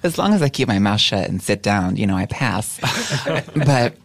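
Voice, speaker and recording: A woman with a deep voice, a podcast guest frankly telling personal stories with wry humor in a casual chat. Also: sing-song, unhurried. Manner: frank, wry storytelling with laughs.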